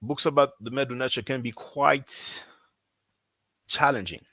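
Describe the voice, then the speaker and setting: A man's voice: mid-range voice, measured pace, a bit bright, dry recording. Dry-sounding man, non-native English speaker. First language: not English. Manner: repetitive, conversational, frequent fillers. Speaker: language teacher. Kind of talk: casual promotional talk.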